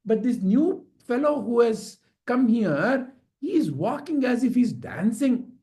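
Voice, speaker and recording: A man's voice: very high voice, very animated, measured pace, warm tone, voice pushed up, full character voice. A man voicing a meek, apologetic palanquin bearer pleading deferentially in a storybook tale.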